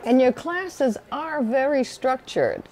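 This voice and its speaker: A woman's voice: high-pitched, big pitch swings, slow, a little mellow, low-quality recording. An older woman hosting a community TV interview, upbeat and encouraging, on a lapel mic in a library room. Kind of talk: interview and scripted sign-off. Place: indoor library room, seated in armchair.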